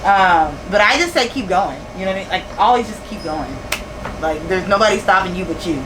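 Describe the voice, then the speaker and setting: A woman's voice: medium pitch, expressive, fairly quick, noisy background. A woman in her thirties, a business owner talking candidly in a casual interview, often repeating phrases and laughing easily. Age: 30s. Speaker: store owner interviewed as guest.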